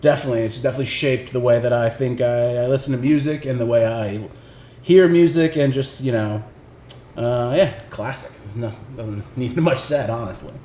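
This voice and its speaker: Halting man in a roomy, echoey space. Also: mid-range voice, mellow. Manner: halting, rambling, laid-back phrasing. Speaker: recording engineer presenting record picks. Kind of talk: casual unscripted chat. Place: indoors in a record shop. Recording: roomy echo, faint background noise.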